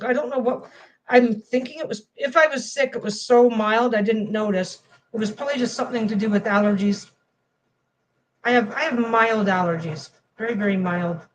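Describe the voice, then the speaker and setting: A woman's voice: on the high side, a little hiss or hum, rough recording. A woman vlogging casually as she sews a quilt panel at home, rambling and often restarting her phrases. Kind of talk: casual chat while sewing.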